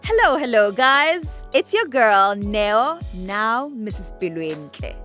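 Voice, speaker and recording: An actress playing a confident young bride-to-be in a radio drama, speaking articulately with a Botswana accent. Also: female voice, a little high, sing-song, takes it slowly, a bit bright, soft background music, full character voice. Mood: bubbly, welcoming. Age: young adult. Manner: articulate, explanatory, code-switching. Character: confident young bride-to-be businesswoman, reasoning earnestly.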